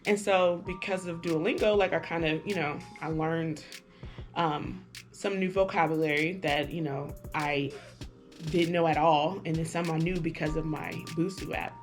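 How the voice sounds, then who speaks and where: A woman's voice: sits low, steady tone, normal speed. A woman vlogger reviewing an app in casual talk to camera, chatty and hesitant, with lots of fillers and restarts. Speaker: language-learning vlogger. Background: music.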